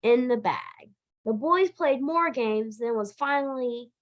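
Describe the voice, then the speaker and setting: A woman reading a children's story aloud in an animated, expressive storytime style, now and then stumbling over a word. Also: on the high side, unhurried, muffled recording. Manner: expressive, emphatic, occasionally stumbling over words. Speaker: library director reading a picture book aloud.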